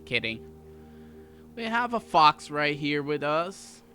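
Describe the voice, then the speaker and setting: A man's voice: fairly high pitch, big pitch swings, slow pace, sharp tone, soft background music. A man giving casual, chatty playthrough commentary as a gaming host, with playful asides.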